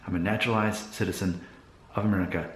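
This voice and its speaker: A man with a fairly low voice records an opinion vlog in a room with clear echo. He addresses his viewers directly, in a deliberate and emphatic way. The delivery is an earnest monologue. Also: fairly even intonation. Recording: echoey room.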